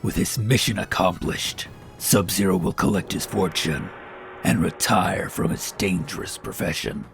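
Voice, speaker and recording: A man with a gravelly voice reading a dramatic scripted narration. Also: deep voice, measured pace, music in the background.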